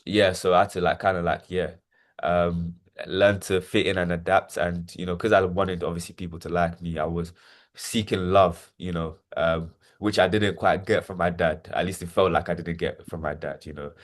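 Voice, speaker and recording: Deep-voiced young man, hesitant storytelling. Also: fairly even intonation, moderate pace. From Nigeria. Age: 20s. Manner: hesitant, repeats words, many fillers. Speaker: interview guest. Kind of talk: reflective personal testimony.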